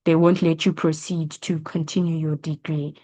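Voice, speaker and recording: A woman's voice: low voice, flat delivery, normal speed, dark tone. A young woman from South Africa, a science student, patiently reassuring a school pupil in a casual advice session, with frequent ums. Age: young adult. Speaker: science student answering questions. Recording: dull lo-fi audio.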